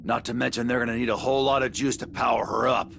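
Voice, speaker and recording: An actor with an American accent playing a tough, irritable warrior in an anime game, gravelly, blunt and curt. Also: male voice, medium pitch, flat delivery, quick pace, background noise, close to an everyday voice. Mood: defiant.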